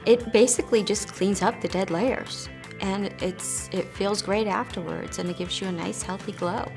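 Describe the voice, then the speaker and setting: A woman's voice: mid-range voice, steady tone, normal speed, gravelly, darkish tone, soft background music. A woman in her forties talking candidly and chattily about a treatment. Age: 40s. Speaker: client testimonial.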